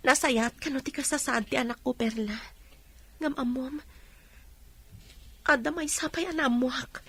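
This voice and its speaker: A woman acting a gentle working mother in a Filipino radio drama, with a Filipino accent. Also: sits high, unhurried, breathy edge, no room sound, full character voice. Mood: grave. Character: gentle working mother abroad named Korason, grieving sympathetically. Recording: faint background noise.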